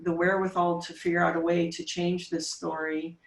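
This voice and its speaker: A woman in her forties, a podcast guest, talking casually with emphatic, coaching punch and quick rhetorical questions. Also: lowish voice, flat delivery, far from the mic. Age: 40s. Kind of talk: casual interview talk. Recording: roomy sound, voice off-mic.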